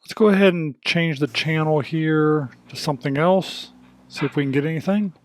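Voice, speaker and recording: A man's voice: fairly high pitch, normal speed, noisy background. A man hosting a casual hands-on demonstration, talking in a plainspoken, folksy way. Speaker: video host demonstrating radio gear.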